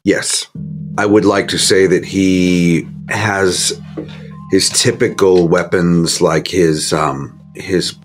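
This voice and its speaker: Guy player in an rpg, really low voice, casual, music in background. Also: steady tone, takes it slowly. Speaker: player in a tabletop game. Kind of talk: casual in-game talk. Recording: music behind the voice.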